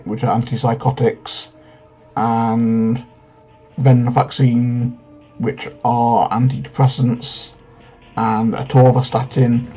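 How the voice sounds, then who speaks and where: A man, answering personal questions in a frank, hesitant way with many pauses and ums. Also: medium pitch, mellow, highs cut off. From England. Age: 30s. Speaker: vlogger. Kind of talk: casual Q&A vlog.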